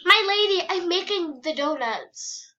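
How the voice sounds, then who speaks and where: A woman's voice: very high-pitched, animated, a little slow, pushed and bright, exaggerated voice. A young girl playing an obedient, deferential maid in a game roleplay, on a dull home microphone. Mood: polite, eager. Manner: polite, deferential. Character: obedient young female maid serving a princess.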